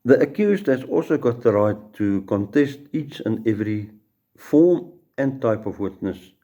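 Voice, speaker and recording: A man's voice: lowish voice, animated, measured pace, dark tone. A middle-aged man with a South African Afrikaans accent, a judge giving an informal law lecture full of courtroom stories and restarts. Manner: storytelling, repeats words and restarts.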